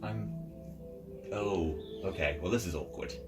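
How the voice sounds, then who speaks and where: A man's voice: sits low, measured pace. A man talking casually as a player in a tabletop game. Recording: distant mic, loud music behind. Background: ambient music.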